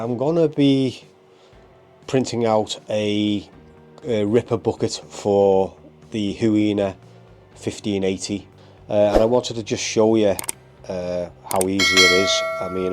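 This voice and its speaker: A man with a cold and a stuffy, bunged-up voice, hosting his hobby channel in a rambling casual how-to with lots of ums and uhs. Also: lowish voice. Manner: rambling, full of ums and uhs, keeps saying guys.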